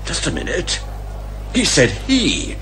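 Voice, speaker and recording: An excitable, jolly British gentleman sidekick in an eighties radio detective comedy. His high voice swoops wildly, with old-fashioned upper-class exclamations. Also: takes it slowly, a little hiss or hum, heavily acted. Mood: excited, urgent. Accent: British English. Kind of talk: 1980s British radio comedy drama acting.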